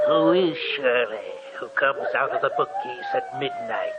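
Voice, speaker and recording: A man playing a ghoulish old hermit, a gleeful horror host with a shrill cackle and a taunting, drawn-out delivery in old-time radio style. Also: heavily acted. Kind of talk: 1940s radio horror acting. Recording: noisy, muffled old radio recording.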